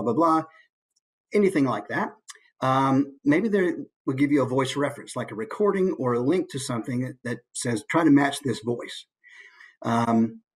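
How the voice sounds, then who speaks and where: A man giving an informal online presentation in an easygoing, conversational way, with hesitant pauses as he goes. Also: mid-range voice, husky. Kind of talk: informal online talk with slides. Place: online video call.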